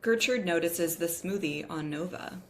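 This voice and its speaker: Low-voiced woman reading stage directions. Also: moderate pace. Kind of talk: scripted narration at a table read.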